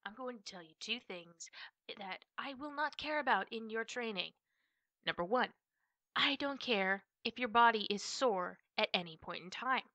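A woman's voice: a little high, expressive, normal speed, bright, forceful tone, no echo. A woman voicing a stern, blunt part-wolf army officer who trains knights, in a scripted roleplay audio drama. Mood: stern, commanding. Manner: tough, bossy, blunt.

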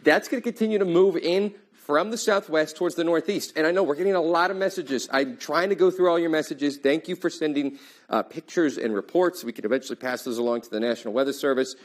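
A young man, a TV meteorologist, giving brisk, emphatic live storm updates in a studio on a lapel mic. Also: fairly high pitch. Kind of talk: live severe weather coverage. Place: TV studio in front of a weather wall.

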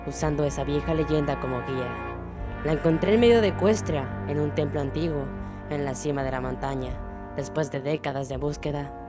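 A woman's voice: deep voice, brisk, natural voice. A woman with a Latin American Spanish accent voicing an ancient, patient alicorn princess in a cartoon comic fan dub, speaking formally and solemnly. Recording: music under the voice.

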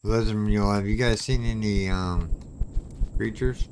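A man's voice: lowish voice, steady tone, unhurried, darkish tone, slight background noise. A man hosting a gaming channel, chatting casually to viewers as he plays, with something clogging his throat. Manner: chatty, talks to viewers while playing. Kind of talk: casual gameplay commentary.

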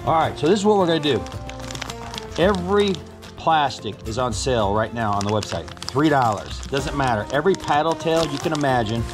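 A middle-aged man hosting a casual fishing vlog from a boat on a lake, upbeat and talking straight to his viewers. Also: medium pitch. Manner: upbeat, talks straight to viewers, excitable when fish bite. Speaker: fishing guide and bait seller. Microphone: action camera on the boat.

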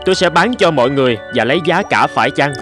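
A man's voice: fairly high pitch, animated, fast, close to an everyday voice. A man playing a determined, resourceful young gold prospector in a cartoon, earnest and reassuring. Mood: confident. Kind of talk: cartoon dub acting. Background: music.

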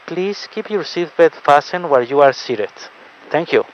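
A man's voice: fairly high pitch, animated, drawn-out words. A man speaking as an airline captain, giving a formal in-flight announcement over the cabin PA. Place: aircraft public address system. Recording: muffled cabin PA with noise. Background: cabin noise.

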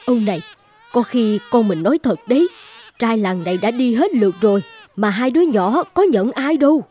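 An actress playing a soft-hearted middle-aged mother and doting grandmother, exclaiming and pleading in fairy-tale dubbing. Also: a little high, animated, moderate pace, a little hiss or hum, close to an everyday voice. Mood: pleading, doubtful.

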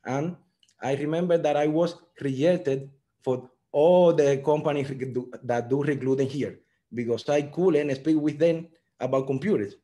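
Cuban-accented man, mellow, earnest learner English. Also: a little high, normal speed. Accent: Cuban Spanish. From Cuba. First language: Spanish. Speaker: software engineer guest. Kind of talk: online panel talk. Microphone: video call.

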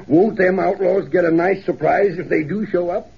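Creaky, raspy old Western codger. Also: male voice, sits high, unhurried, exaggerated voice. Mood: gleeful. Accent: American rural Western. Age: older. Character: crafty, folksy old former frontier sheriff. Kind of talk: 1930s radio drama acting. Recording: muffled, lo-fi 1930s radio recording.

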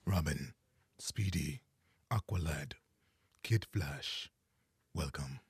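A man acts out a commanding cartoon superhero mentor. His voice is low and rough, formal and stern, recorded close and dry. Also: slow, no echo, exaggerated voice. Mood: formal, solemn. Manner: formal, authoritative. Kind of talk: cartoon fandub acting.

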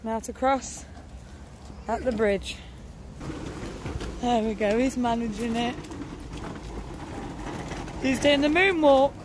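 A woman makes casual comments as a vlog companion. Her voice is high. The sound comes from an outdoor camera recording with clear noise behind it. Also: slow pace, long pauses. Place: outdoors by a river. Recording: noisy outdoor camera audio.

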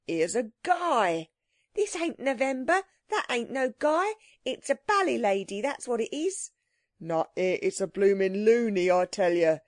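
Woman putting on a rough bloke, jeering and indignant, storybook style, lively. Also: fairly high pitch, a little slow, dry recording, clean studio sound. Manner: mocking, indignant. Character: rough, jeering working-class street crowd man.

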